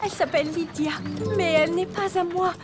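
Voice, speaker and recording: A woman playing a sweet, devoted young maiden in an animated fairy tale, speaking earnestly and gently but with resolve. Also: very high-pitched, fairly quick. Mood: explaining. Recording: music behind the voice.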